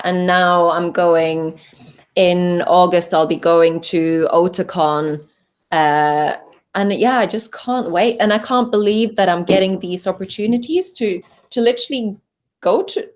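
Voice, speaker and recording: A woman's voice: on the low side, a little slow. A young woman from Norway with a Norwegian accent, chatting casually as a voice actor guest in an interview, full of fillers and restarts. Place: home voice booth.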